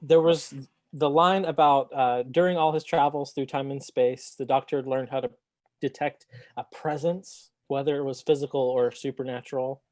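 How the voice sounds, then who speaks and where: Hesitant, low-key man on a podcast panel. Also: steady tone, normal speed, darkish tone. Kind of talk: casual book-club discussion.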